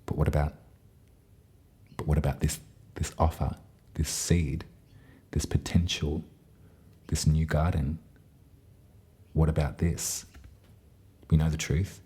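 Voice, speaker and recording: A man's voice: extremely low pitch, level tone, slow pace, long pauses, dark tone, husky. A man giving a chatty, affectionate tarot reading, speaking straight to his listeners. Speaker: tarot reader.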